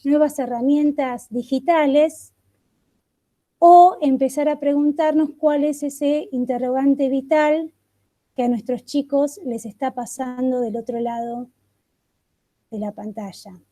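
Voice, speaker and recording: A woman leading an online catechesis workshop over a video call, speaking reflectively and posing one question after another with thoughtful pauses. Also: high-pitched, steady tone, dark tone.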